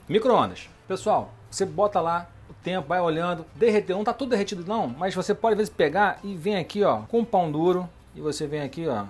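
A middle-aged man hosting a home cooking show, chatting casually as he demonstrates a recipe in his kitchen and checking in with the viewer. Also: fairly high pitch. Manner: chatty, keeps asking "beleza?" and "tá?".

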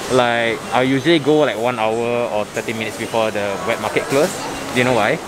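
A man's voice: mid-range voice, a little fast, a crowd in the background, rough recording. A young Singaporean man with a Singaporean English accent, casually guiding viewers through a busy wet market, chatty and full of fillers. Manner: chatty, explains with fillers and "lah". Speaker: local guide. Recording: loud market noise behind the voice.

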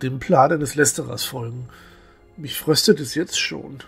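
A devout young fantasy hero, acted by a man, torn between righteousness and doubt. He speaks slowly and haltingly, his voice a little husky, with faint music underneath. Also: medium pitch, heavily acted. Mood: wary. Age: young adult. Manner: hesitant, halting, solemn. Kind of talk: fan-made fantasy audio drama acting.

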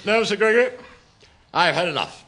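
Lively, highish hapless English civil servant. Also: male voice, brisk. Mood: put-upon. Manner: deferential, put-upon, bumbling. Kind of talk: 1960s radio comedy acting. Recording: very noisy old radio recording.

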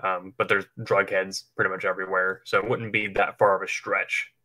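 A man with a fairly low voice and a bright, forward edge. He talks like a livestream co-host in casual, rambling conversation, informal and loose in his wording. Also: little pitch movement, fairly quick. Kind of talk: casual chat speculating about films.

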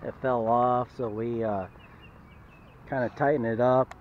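A man's voice: medium pitch, steady tone, a little slow. Casual male vlogger, upbeat and chatty, mid-range voice, recorded outdoors on a muffled action camera with faint ambient noise. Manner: chatty, upbeat, keeps addressing viewers as "guys". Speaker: vlogger and father filming his family. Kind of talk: casual vlog narration to camera. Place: outdoor campground and woods. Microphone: handheld action camera.

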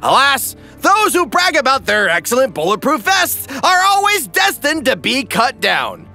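A man's voice: extremely high pitch, wildly swooping pitch, a little slow, gravelly, bright, forceful tone, faint music behind. A man delivering scripted comedic recap narration in a theatrical, wry, emphatic way.

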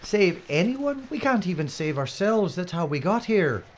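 An actor playing an excitable, grumbling, sarcastic man in an audio drama, with theatrical delivery. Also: high-pitched, moderate pace. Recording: dull lo-fi audio, faint noise.

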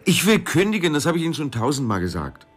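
An actor playing a blunt, impatient customer in a radio drama, speaking curtly and colloquially in exasperation. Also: male voice, a little high, very animated, quick pace, slightly rough, faint music behind. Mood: flatly refusing. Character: exasperated, blunt male customer trying to cancel. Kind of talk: naturalistic radio drama acting.

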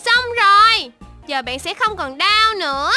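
A woman's voice: very high voice, animated, measured pace, music in the background. A woman voicing a cheerful, caring little shark doctor in a children's cartoon, encouraging and exclamatory as she talks to young viewers. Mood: cheerful, reassuring.

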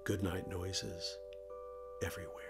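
A man's voice: low-pitched, level tone, unhurried, creaky, over music. A middle-aged man who hosts a children's show, presenting and reading stories aloud for kids.

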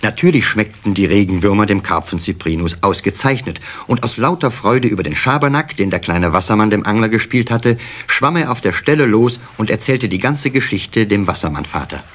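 A man's voice: on the low side, animated, a little fast, hardly any pauses, a little hiss or hum. A man narrating a scripted children's story in a radio play, as the storyteller. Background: soft guitar music at times.

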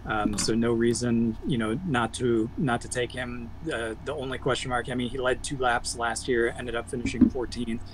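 A man analysing racing for a betting preview over a video call, measured and stat-heavy with the odd um. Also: mid-range voice, fairly even intonation, background noise. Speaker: motorsports analyst guest.

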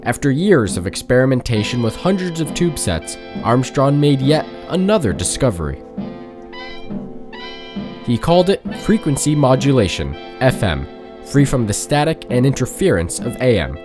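Young man, documentary narrator, animated intonation, guitar music behind. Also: medium pitch, normal speed. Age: young adult. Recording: music bed under narration.

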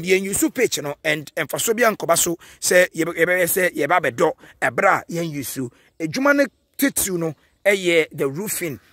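Ghanaian male field reporter, high husky voice, dry outdoor sound, on-site walkthrough. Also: fairly bright tone, no room sound. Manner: walking tour, pointing things out. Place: outdoors at a stadium.

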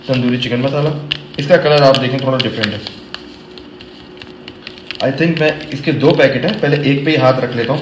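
A chatty middle-aged man cooking in his home kitchen, explaining each step to camera as he goes. His voice sits at a mid pitch and bounces around a hard, echoey room. A steady background noise runs under him. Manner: chatty, explains each step, sprinkles English phrases and Islamic blessings. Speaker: cooking vlogger. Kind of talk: casual cooking vlog to camera.

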